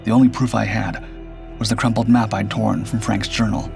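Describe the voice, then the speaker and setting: Low, creaky male horror narrator. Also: flat delivery, normal speed, a little mellow. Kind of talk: scripted first-person suspense narration. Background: background music.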